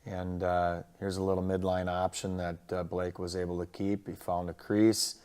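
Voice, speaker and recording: A man who coaches football, casually recapping a game and its highlights in colloquial coaching slang. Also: completely flat delivery, normal speed, darkish tone, clear, resonant tone.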